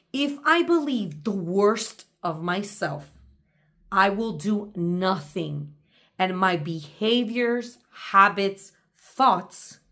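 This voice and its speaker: A woman's voice: fairly low pitch, fairly bright tone. Woman giving an emphatic motivational talk. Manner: emphatic, deliberate, speaks directly to the listener. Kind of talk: motivational talk with reading from own journal.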